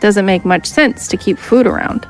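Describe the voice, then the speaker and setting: A woman's voice: medium pitch, moderate pace, faint music behind, close to an everyday voice, rough recording. An actress playing a flirty, wisecracking woman space smuggler in an audio drama. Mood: cocky, flirty. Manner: swaggering, playful banter.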